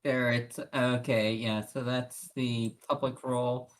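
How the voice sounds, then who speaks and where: A tabletop game player chatting casually and haltingly, describing and voicing a character's actions while thinking aloud. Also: medium pitch, flat delivery. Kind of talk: casual in-character roleplay chat.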